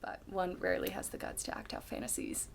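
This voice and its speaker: A woman performing a candid, self-deprecating young woman in a dramatic stage monologue, with halting, confessional delivery and dramatic pauses. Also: a little hiss or hum, lightly acted. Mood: subdued, haunted.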